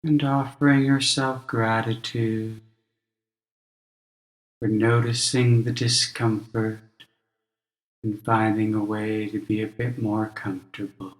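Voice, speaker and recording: A man leading a guided meditation as a teacher, speaking gently and in a measured, instructional way with long pauses. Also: on the low side, little pitch movement, roomy sound, poor audio.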